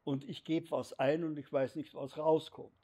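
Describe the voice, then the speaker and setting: Old guy in his 80s, husky and mellow, slow halting talk, lapel mic. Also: on the high side, level tone. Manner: slow, halting, repeats words. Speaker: interviewee photographer. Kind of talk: reflective interview talk. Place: indoors, seated against a plain wall.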